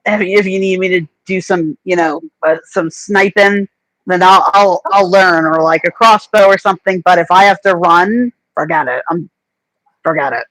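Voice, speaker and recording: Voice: medium pitch, normal speed, fairly bright tone, dry recording, poor audio. A middle-aged guest on a casual livestream chat, speaking hesitantly with frequent stammers and restarts. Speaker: podcast guest.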